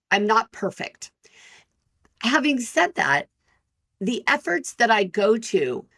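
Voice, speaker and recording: A woman in her fifties, an employment lawyer hosting her own podcast, talking frankly and directly to listeners in a loud, energetic voice. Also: medium pitch. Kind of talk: solo podcast talk.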